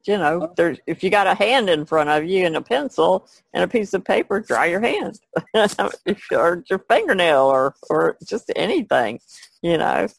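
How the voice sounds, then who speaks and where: A woman's voice: on the low side, very animated, a little slow, vocal fry, slightly rough, dry recording. A woman co-hosting a podcast in casual chat, speaking in a plainspoken, practical way. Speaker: podcast co-host.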